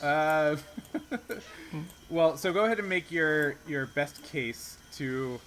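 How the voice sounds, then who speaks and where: Male game master, bright tone, unscripted tabletop narration with a thinking-aloud delivery. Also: fairly high pitch, takes it slowly, slight background noise.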